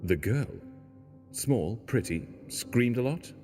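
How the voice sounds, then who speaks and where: A man's voice: mid-range voice, lively intonation, slow, a little mellow, faint music behind. A man playing a weary, pessimistic stranded engineer in an audio drama, talking in a grave way. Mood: guarded. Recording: long hollow reverb.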